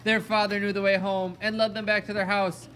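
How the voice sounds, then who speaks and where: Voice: medium pitch, flat delivery, fairly quick, loud music behind the voice. A narrator telling a fairy tale in a storytelling style for a children's puppet show.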